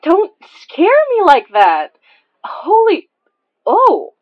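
A woman's voice: wildly swooping pitch, extremely slow, dull audio, full character voice. A woman playing a flustered, stammering, easily startled young woman in an audio drama. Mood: flustered, awkward. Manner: stammering, hesitant, swoops in pitch. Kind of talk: naturalistic audio drama dialogue.

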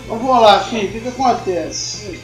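A man's voice: high voice, animated, fairly quick, far from the mic, soft background music. A middle-aged man with a Brazilian accent, a chef giving casual, slangy, hands-on cooking instruction to an apprentice. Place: busy restaurant kitchen, indoors. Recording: echoey, noisy, muffled kitchen pickup from afar. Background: loud clatter of dishes and pans.

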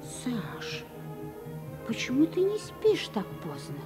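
An animated, high-voiced French governess. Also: female voice, slow pace, music in the background, exaggerated voice. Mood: gentle, instructive. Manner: theatrical, expressive, gently scolding, sometimes hushed. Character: lively French governess, affectionate, frank and exacting. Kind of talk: Soviet radio play acting.

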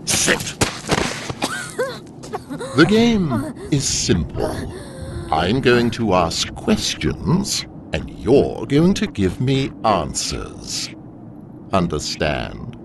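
An actor playing a wrathful, menacing demon overlord in an audio drama, with slow, theatrical, accusing delivery. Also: male voice, on the low side, huge pitch swings, vocal fry, noisy background, exaggerated voice. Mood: menacing, taunting.

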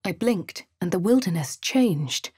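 A breathy posh English adventurer. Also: female voice, fairly low pitch, animated, a little slow, a little mellow, no echo, exaggerated voice. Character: posh female adventurer, wry and watchful. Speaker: voice actor for a player character. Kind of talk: video game voice lines. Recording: dry studio booth.

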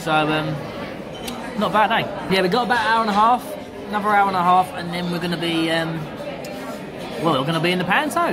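A man's voice: sits high, brisk, long pauses. A young man chatting casually and jokily to his vlog, often repeating phrases. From England. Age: young adult. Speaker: vlogger. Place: on the go in car, large busy pub, theatre. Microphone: handheld phone at arm's length. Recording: loud music and bustling crowd behind.

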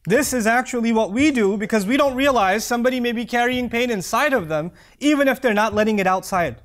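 A man in his thirties giving an expressive Friday sermon in a small prayer hall, reciting verses and then explaining them. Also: very high-pitched, fast. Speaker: preacher. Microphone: lapel mic.

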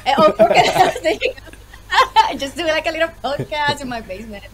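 A woman in her thirties with a Puerto Rican accent, a podcast guest chatting on a video call from home, lively and full of fillers. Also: sits high, a little fast, heavy background noise. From Puerto Rico. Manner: lively, laughs often, many fillers. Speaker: guest, small business owner. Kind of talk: casual interview on a video call. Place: home room with bookshelves.

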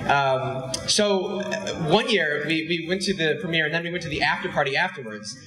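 A man's voice: on the high side, animated, moderate pace. A young man telling a self-mocking story on stage to a live crowd, holding a handheld mic. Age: young adult. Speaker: company head and co-host. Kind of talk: stage anecdote to a live audience. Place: large dark stage in a hall. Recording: echoey hall.

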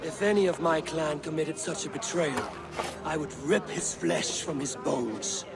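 An actor playing a steady Viking clan leader in a video game, measured and firm. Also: male voice, fairly high pitch. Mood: stern. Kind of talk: video game cutscene acting.

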